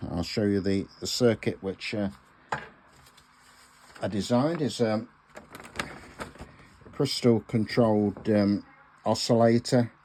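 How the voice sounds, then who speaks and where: A man in his sixties talks through a hands-on hobby demonstration. His voice sits a little low and he speaks very slowly. He is halting and deliberate, often pausing to find the next word. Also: a little mellow. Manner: halting, deliberate, with frequent pauses and hesitations. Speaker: amateur radio hobbyist presenting a video. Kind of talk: informal hands-on demonstration talk.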